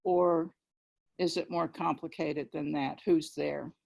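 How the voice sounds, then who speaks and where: A woman's voice: low-pitched, steady tone, takes it slowly, gravelly, darkish tone. A woman speaking emphatically in an informal literary discussion on a video call.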